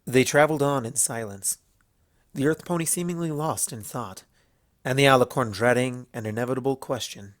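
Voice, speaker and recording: A man's voice: medium pitch, moderate pace, no echo, studio quality. A man giving a scripted storybook narration as the narrator.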